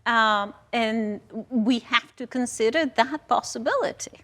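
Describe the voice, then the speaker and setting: A woman speaking as a faculty panelist in a measured, cautionary way. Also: a little high, bright, forceful tone. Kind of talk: panel discussion.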